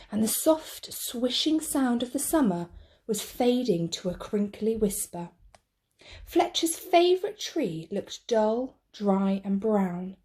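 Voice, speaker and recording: A woman reading a picture book to children in an expressive, measured storytelling style. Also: medium pitch. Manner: measured, deliberate phrasing.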